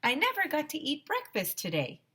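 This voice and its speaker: A woman's voice: high voice, huge pitch swings, normal speed, bright, forceful tone, pitched above the performer's own voice, exaggerated voice. A woman voicing an eccentric, blunt, food-loving woman from a children's storybook, matter-of-fact and emphatic.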